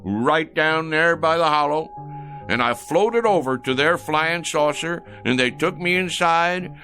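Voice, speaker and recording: Folksy, gravelly old country yarn-spinner. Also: male voice, on the high side, a little slow, bright, forceful tone, faint music behind. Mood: earnest yarning. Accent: rural American. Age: 60s. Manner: folksy, drawling, rambling storyteller. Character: folksy sixty-three-year-old tall-tale-telling rural man. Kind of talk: radio drama acting.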